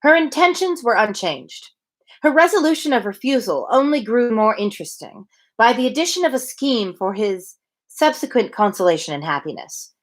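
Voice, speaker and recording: Female narrator for live classic-novel reading, slightly high voice, very varied intonation, expressive. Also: moderate pace. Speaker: reader of a daily livestreamed novel reading.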